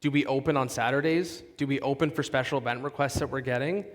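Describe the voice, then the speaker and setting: A man's voice: medium pitch, fairly even intonation, fast. Man presenting in an echoing hall. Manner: polished, explanatory, with occasional restarts. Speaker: conference presenter and moderator. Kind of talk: conference talk and onstage interview. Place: large conference hall. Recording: long reverb of a conference hall.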